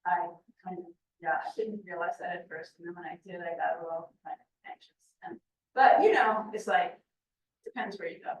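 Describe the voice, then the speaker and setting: An older woman answering questions on a video call in a chatty way, laughing often and trailing off softly. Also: on the low side, lively intonation, brisk, lo-fi sound. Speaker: presenter. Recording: echoey room from far off, faint noise.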